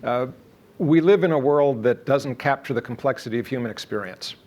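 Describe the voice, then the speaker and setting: A man on a discussion panel, offering measured, reflective comments. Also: mid-range voice, darkish tone.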